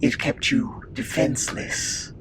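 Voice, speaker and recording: A man playing a calm, probing questioner in an audio drama, measured and low-key. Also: close to an everyday voice. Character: calm probing male questioner drawing out a prisoner's story. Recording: loud noisy ambience.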